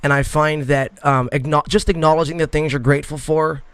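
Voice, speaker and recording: A man's voice: a little high, steady tone, brisk, a bit bright, clear, resonant tone, dry recording. Upbeat man hosting a podcast. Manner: rambling, many fillers and restarts. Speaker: podcast host. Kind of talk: casual interview chat.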